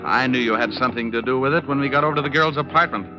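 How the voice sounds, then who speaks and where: An actor playing a wisecracking, unflappable hard-boiled private detective who also narrates, in old radio drama style. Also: male voice, mid-range voice, very fast, close to an everyday voice. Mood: cool, needling. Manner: wry, slangy, hard-boiled. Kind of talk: 1940s-50s radio detective drama acting. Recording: muffled old radio recording with music.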